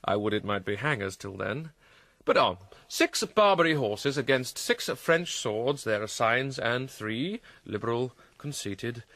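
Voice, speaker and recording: An actor playing a troubled, witty and melancholy young prince in a classical Shakespearean radio drama, speaking theatrically with a quick mind. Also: male voice, medium pitch, fairly bright tone, no room sound. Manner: theatrical, quick-witted, shifting between dry mockery and reflection.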